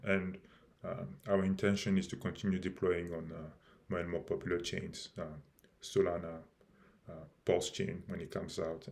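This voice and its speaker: A man hosting a live stream about his project, speaking haltingly with many fillers and restarts, and losing his voice a little. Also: low-pitched, gravelly, darkish tone. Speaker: crypto project host.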